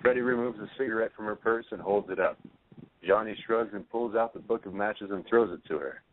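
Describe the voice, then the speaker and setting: A man's voice: on the low side, brisk, slight background noise. A man narrating a play script, reading out the stage directions. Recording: tinny phone-line audio.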